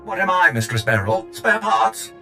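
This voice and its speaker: An actor playing a sarcastic, long-suffering servant droid in a video game, dry and put-upon. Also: male voice, fairly low pitch, sing-song, moderate pace, sharp tone, faint music behind, exaggerated voice. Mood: exasperated.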